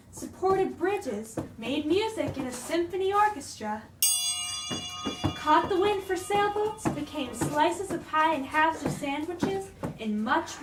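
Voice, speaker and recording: A woman reading a storybook aloud in an expressive, theatrical way. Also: high-pitched. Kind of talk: dramatic storybook read-aloud. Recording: roomy, distant microphone with clear noise.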